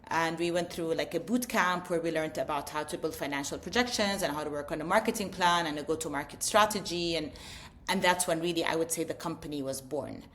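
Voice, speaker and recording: Woman, middle-aged, somewhat low voice, earnest explanatory tone, frequent "you know" and restarts, interview guest. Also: brisk, fairly bright tone, slight background noise. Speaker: edtech founder, interview guest. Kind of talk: casual interview conversation.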